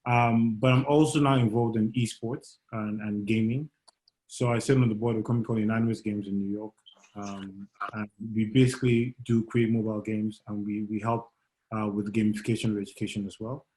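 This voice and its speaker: A man's voice: fairly low pitch, flat delivery, a little fast, a little mellow, rough recording. Joking man stammering on a panel. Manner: joking, stammers on first words. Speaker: entrepreneur and lawyer, panel guest. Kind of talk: casual panel chat.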